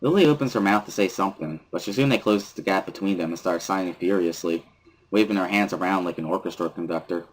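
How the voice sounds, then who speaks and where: A man reading first-person story narration from a visual novel as a dramatic reading, with a husky voice. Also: low voice, quick pace, poor audio.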